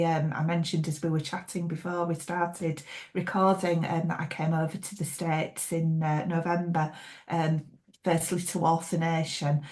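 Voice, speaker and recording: A middle-aged woman with a Yorkshire accent talks as a guest on an interview podcast. Her voice sits a little low, and her speech is friendly and rambling, with frequent hesitations and restarts. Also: darkish tone. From Yorkshire, England. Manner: chatty, hesitant with many restarts and laughs. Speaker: thriller author guest. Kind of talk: casual interview chat.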